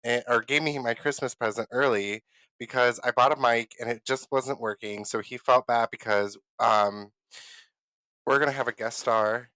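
A man's voice: mid-range voice, fairly even intonation, normal speed, pushed and bright, no room sound. A man co-hosting a podcast in casual chat, rambling and giggly and losing his train of thought, on a close podcast mic in a small home studio. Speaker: podcast co-host.

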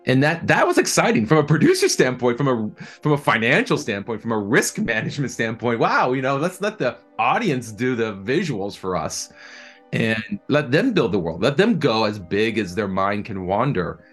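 A man answering interview questions as a producer, speaking thoughtfully and conversationally, often halting and repeating words. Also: medium pitch, big pitch swings, a bit bright, soft background music.

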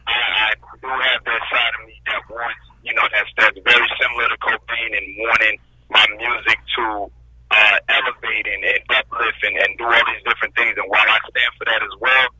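A man's voice: lowish voice, flat delivery, a little fast, sharp tone, slightly rough, no echo, poor audio. A man, a rapper guest, answering interview questions earnestly and often asking "you know what I'm saying".

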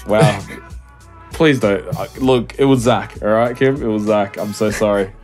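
A man's voice: lowish voice, fairly even intonation, unhurried, darkish tone, soft background music. A young man co-hosting a podcast over a call, congested, laughing often and swearing as he chats casually. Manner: laughs often, swears, trails off mid-sentence. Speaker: podcast co-host. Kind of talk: casual chat. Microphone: remote call mic.

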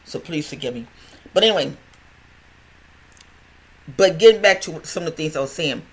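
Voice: mid-range voice, lively intonation, moderate pace, breathy edge, poor audio. An older podcast host with a stutter, talking in a chatty, rambling way with many restarts and repeated words. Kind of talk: casual health podcast monologue.

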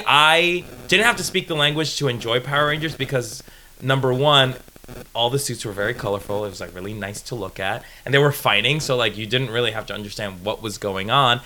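A man in his thirties from Colombia, a native Spanish speaker, chatting as a podcast guest and laughing often while telling stories. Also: medium pitch. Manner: laughs often, animated storytelling. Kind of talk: casual chat.

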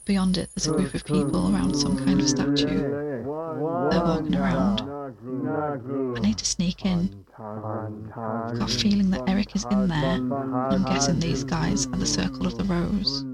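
A woman's voice: sits low, a little slow, pauses often, over loud music. An actress in an audio drama playing an exhausted, anxious but determined woman who is recording a spoken log. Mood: hushed, tense. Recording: clearly noisy outdoor recording with crickets.

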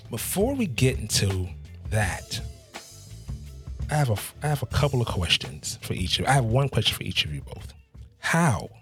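A man's voice: on the low side, fairly bright tone, slightly rough. A man hosting a podcast interview and talking with guests. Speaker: podcast host.

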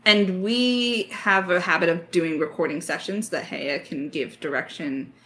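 A woman talks in a relaxed creator Q&A as a writer and voice actor. Her voice sits at a middle pitch for a woman. She is informal and chatty, with a giggly way of talking. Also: a little slow. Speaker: lead writer and voice actor of an audio drama podcast. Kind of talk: casual creator Q&A chat.

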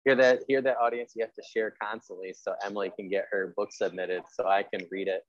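Fast-talking man with a low voice. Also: steady tone, no room sound, lo-fi sound. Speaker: podcast host and writer. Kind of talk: casual interview chat.

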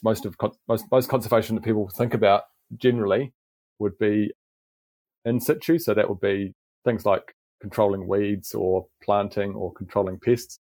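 Gravelly low-voiced New Zealand man. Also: darkish tone, no room sound. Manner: thoughtful, explains with frequent restarts and fillers. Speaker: botanic garden manager, podcast guest. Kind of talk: relaxed podcast interview.

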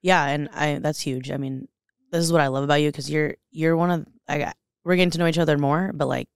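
Earnest woman with gravelly voice. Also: low-pitched, fairly even intonation, fast talker, no echo, studio quality. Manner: earnest, emotional, many fillers and restarts. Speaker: podcast guest. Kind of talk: candid interview conversation.

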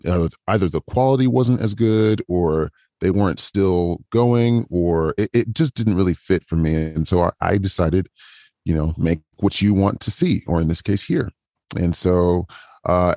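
Man with a pitched-down, modulated voice. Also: dry recording, studio quality. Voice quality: calm, inviting. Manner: chatty, with frequent restarts and repetitions. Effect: voice modulated lower. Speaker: podcast guest, audio erotica podcaster. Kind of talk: casual interview chat.

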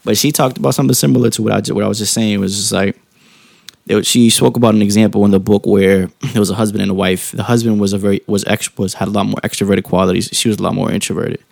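A man speaks in a low, mellow voice with a good deal of fry in it. He is calm and soft-spoken, musing informally and often circling back to reword a thought. The sound is dry, with no room around it. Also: dry recording. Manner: calm, informal slang, halting rephrasing with frequent tag questions. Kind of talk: casual reflective monologue.

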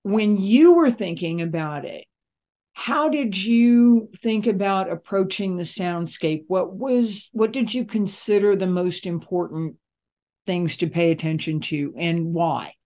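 A woman interviewer speaking conversationally, with deliberate, reflective phrasing. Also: medium pitch, mellow. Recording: dull, lo-fi band-limited sound.

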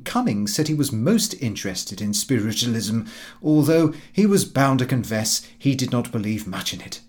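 A man's voice: medium pitch, a little mellow. A man reading a comic diary novel aloud as scripted narration, in a formal, fussy first-person manner. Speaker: audiobook narrator.

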